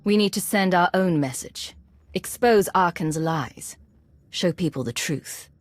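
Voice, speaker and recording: An actress playing an earnest, idealistic commander in a video game, urgent and determined. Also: female voice, on the low side, a little slow, dry recording, natural voice.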